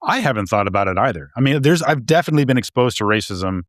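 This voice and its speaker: A man's voice: medium pitch, lively intonation, fast talker, dry recording, studio quality. A man co-hosting a podcast, talking casually and hesitantly, often restarting and repeating words. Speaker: podcast co-host. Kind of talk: casual discussion.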